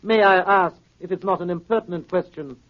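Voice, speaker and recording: An actor playing a pompous, sardonic gentleman villain in 1930s radio style, scornful and combative. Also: sits high, a little fast, natural voice. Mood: smooth, sardonic. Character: blustering, sardonic gentleman villain, master of house. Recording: dull 1938 radio broadcast recording.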